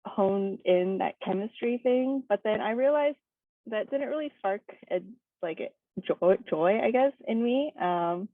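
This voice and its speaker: A young woman from Hawaii speaking as a guest presenter, telling her story in a relaxed, chatty way full of fillers and little laughs. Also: mid-range voice, measured pace, no echo. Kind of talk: casual personal talk. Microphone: video call. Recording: dry tinny video call audio.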